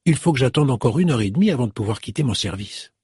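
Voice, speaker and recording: A man's voice: mid-range voice, lively intonation, quick pace, a little mellow, slightly rough, no room sound, clean studio sound. A man voicing a cheerful, chatty young female shop assistant in an audiobook. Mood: eager. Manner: chatty, eager, exclamatory.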